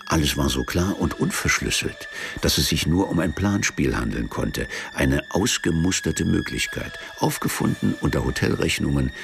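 A man narrating a literary radio drama in a measured, deadpan manner. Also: extremely low pitch, gravelly. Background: music behind the voice.

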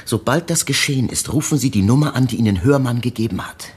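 An actor playing a shrewd, world-weary police inspector who probes insistently and explains step by step in a radio crime drama. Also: male voice, mid-range voice, animated, quick pace, darkish tone, slightly rough. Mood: matter-of-fact. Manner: probing, explains step by step, prodding questions.

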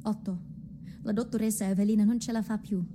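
Casting: actress as capable, scolding woman, mid-pitched, radio drama, clearly noisy recording. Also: fairly even intonation, brisk, close to an everyday voice. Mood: stern reproach. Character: firm, scolding country woman, stubborn and capable. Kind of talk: theatrical radio play acting.

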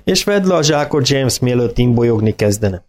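A man voicing a brisk, impatient middle-aged vet who gives curt orders in a read-aloud story. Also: mid-range voice, expressive, darkish tone, close to an everyday voice. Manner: curt, hurried, commanding.

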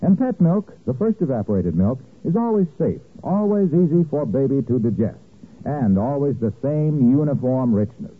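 A man's voice: medium pitch, husky. An American man as a vintage radio announcer, delivering commercial copy in an emphatic, salesmanlike way. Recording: tinny, band-limited old radio broadcast.

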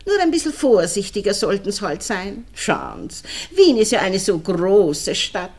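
An actress playing a nosy, falsely friendly married neighbour with a Viennese accent, chatty and insinuating, in a 1960s radio play. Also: female voice, mid-range voice, big pitch swings, a little slow. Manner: chatty, insinuating, colloquial dialect.

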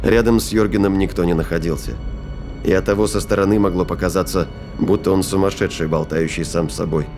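Man, narrator, low pitch, scripted sci-fi audio drama narration, clear music bed. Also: steady tone, fast talker, darkish tone.

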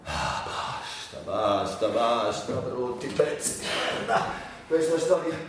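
A man's voice: mid-range voice, very animated. Echo-drenched, bitter, anguished man. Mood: exasperated, furious. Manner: sardonic, volatile, with drawn-out words and breathy outbursts. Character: bitter, anguished man with muscular dystrophy, sardonic and foul-mouthed. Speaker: stage actor performing a monologue. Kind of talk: theatrical dramatic monologue. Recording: echoey hall, distant mic, faint noise.

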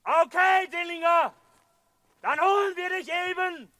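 An actor playing a police officer who shouts orders at a surrounded gangster in an old radio drama. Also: male voice, extremely high pitch, measured pace, heavy background noise. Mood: commanding. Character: male police officer calling out a siege. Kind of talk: 1960s radio drama acting.

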